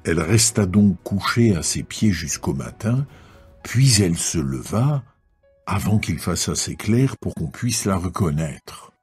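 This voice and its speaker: Low, very husky male scripture narrator. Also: animated, darkish tone, faint music behind. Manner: measured, storytelling delivery.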